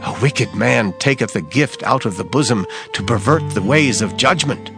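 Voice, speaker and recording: A man's voice: mid-range voice, expressive. Solemn man reading scripture over music. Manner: solemn, measured recitation. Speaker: scripture reader.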